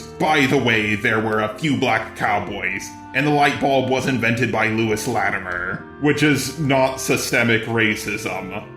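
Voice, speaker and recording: A man's voice: medium pitch, a bit bright, roomy sound. A man's synthetic text-to-speech voice delivering scripted video commentary.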